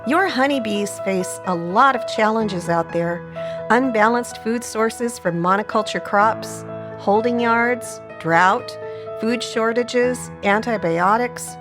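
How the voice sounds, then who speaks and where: Upbeat woman reading an ad over music. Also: medium pitch. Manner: upbeat, polished, clearly paced. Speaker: ad announcer. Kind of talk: scripted ad read. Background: music bed.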